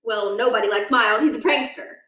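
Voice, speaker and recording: A woman reading a storybook, voicing a pompous, flustered middle-aged male school principal who tends to shout. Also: a little high, brisk, echoey room. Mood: incredulous.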